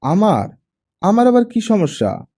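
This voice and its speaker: Audiobook role: lively, teasing girl talking to her dad, done by a man, raised and animated. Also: high-pitched, a little slow, slightly rough, voice pushed up, heavily acted. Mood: surprised, teasing. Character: playful, teasing young daughter chatting with her father.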